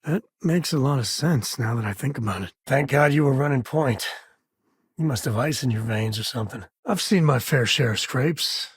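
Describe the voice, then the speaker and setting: An actor playing an easygoing, protective frontier ranger and father in a video game, with a husky voice and wry, folksy conversational delivery. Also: mid-range voice, moderate pace, no echo, studio quality. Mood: casual. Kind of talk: video game character dialogue.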